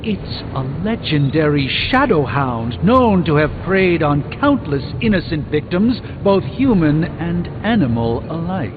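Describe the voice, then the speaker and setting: A learned middle-aged sorcerer speaks in composed, formal phrases for a video game. His voice sits a little high. It rings in a long hall reverb, thinly band-limited, with faint music behind. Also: big pitch swings, narrow, tinny audio, background noise. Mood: indignant, defensive. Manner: precise, formal, measured diction. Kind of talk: video game character dialogue.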